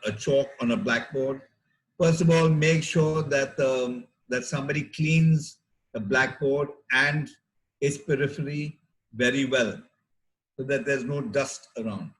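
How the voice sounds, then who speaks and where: A middle-aged man with an Indian accent, a doctor giving a health talk to teachers in a deliberate, measured, explanatory way with pauses between phrases. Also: medium pitch, poor audio.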